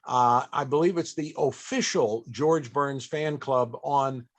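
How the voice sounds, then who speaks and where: An older man hosting an interview, chatty and a bit halting, with frequent chuckles. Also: medium pitch. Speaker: panel host.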